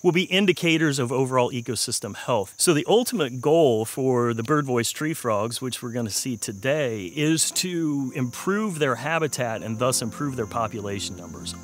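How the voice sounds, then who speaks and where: Measured middle-aged man explaining field research. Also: medium pitch, lively intonation. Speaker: wildlife researcher. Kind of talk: explanatory documentary interview. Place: outdoors in woodland.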